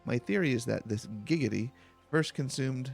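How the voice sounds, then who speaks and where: Man playing a pedantic, creaky-voiced academic researcher. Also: mid-range voice, moderate pace, faint music behind. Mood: formal, explanatory. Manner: formal, pedantic, wordy. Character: polite, long-winded academic researcher in a fantasy game.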